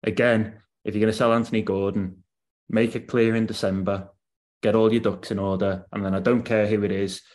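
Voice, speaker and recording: A man's voice: on the low side, little pitch movement, brisk, a little mellow. A man, a football writer on a podcast, talking through his analysis at length in a casual chat. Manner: analytical, weighs points at length.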